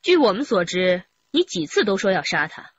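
A woman's voice: mid-range voice, big pitch swings, moderate pace, highs cut off, slight background noise. An actress playing a firm, challenging female police interrogator in a radio drama, pointed and stern.

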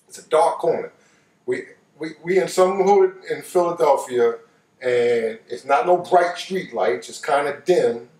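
An older American man with a high voice, spinning a tale in loose, colloquial speech. He repeats phrases to hammer them home. He sounds set back from the microphone in a roomy space. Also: distant mic. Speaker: storyteller. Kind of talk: animated personal storytelling, casual. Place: living room couch, indoors.

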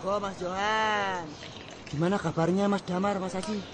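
Radio drama: actor as a deferential stall keeper, high voice, noisy background. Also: male voice, steady tone, normal speed, close to an everyday voice. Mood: polite. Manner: polite, deferential. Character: polite young male food stall keeper Joko.

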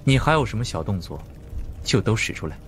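Man playing a low, menacing ghost hunter. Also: sing-song, a little hiss or hum. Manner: taunting, deliberate threats. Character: menacing, coolly confident male ghost hunter. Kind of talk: dramatized audiobook character acting.

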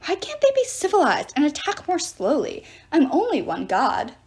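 A woman's voice: a little high, sing-song, normal speed. A woman voicing a fussy, indignant male god in a talking statue, complaining officiously in a storybook reading. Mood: exasperated. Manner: officious, shrieking, rule-obsessed complaining.

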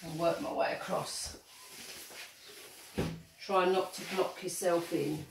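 Middle-aged English teacher, low-ish voice, patient how-to demo, roomy phone recording. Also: female voice, steady tone, takes it slowly, creaky. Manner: patient step-by-step explaining. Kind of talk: instructional home demonstration. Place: home kitchen and hallway, indoors. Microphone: handheld phone filming. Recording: echoey room, noisy phone audio.

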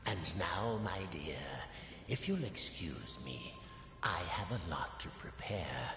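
Gravelly, courteous video game villain. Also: male voice, deep voice, sing-song, fairly bright tone, exaggerated voice. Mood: smooth, condescending. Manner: slow, deliberate, silkily polite. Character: menacing, courteous middle-aged male villain, smoothly condescending. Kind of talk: video game character acting. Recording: echoey hall reverb, faint music behind.

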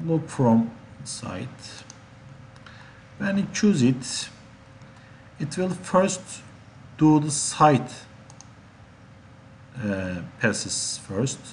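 Guy teaching software step by step, slow n halting, some background noise and keyboard clicks. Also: fairly high pitch. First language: Turkish. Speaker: instructor. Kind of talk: software tutorial narration.

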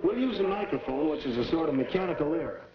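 A man's voice: a little high, expressive, fast talker, highs cut off, a crowd in the background, natural voice. An actor playing a patient young American man who explains science didactically in a 1940s instructional film. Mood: patient, instructive. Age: young adult. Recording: noisy 1940s film soundtrack.

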